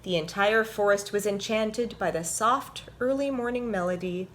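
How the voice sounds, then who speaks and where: A woman hosting a story time for children, reading and explaining things simply with expressive, deliberate phrasing. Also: mid-range voice, slight background noise. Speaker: host and story reader, orchestra violinist.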